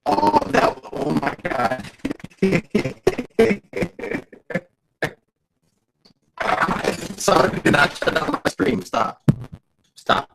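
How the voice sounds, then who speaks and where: A man's voice: sits high, big pitch swings, extremely slow, vocal fry, pauses often, heavy background noise. A man hosting a casual livestream, who laughs often, repeats himself and answers his chat. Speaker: livestream host.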